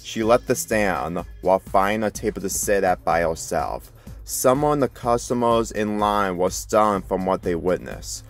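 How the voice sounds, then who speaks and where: A man with a fairly low voice reads a story aloud as narrator. His scripted narration plays over background music. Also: a little slow. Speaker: fan fiction reader. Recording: music playing behind the voice.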